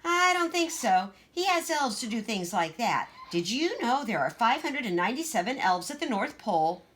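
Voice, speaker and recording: A woman's voice: fairly high pitch, sing-song, moderate pace, a bit bright, voice pushed up. A woman voicing a bossy, boastful, chatty little girl in a children's storybook, with an emphatic, gushing know-it-all manner.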